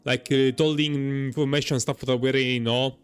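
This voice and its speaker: A man doing casual live gameplay commentary, halting and repeating words as he searches for phrasing. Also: medium pitch, steady tone, smooth. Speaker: game streamer. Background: faint game music.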